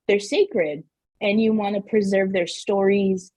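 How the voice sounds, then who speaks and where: A woman from Southern New Mexico whose first language is Spanish, telling family stories as a podcast guest in an animated way, laughing often and saying "like" a lot. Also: mid-range voice, measured pace, breathy edge, dry recording. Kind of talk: casual interview storytelling.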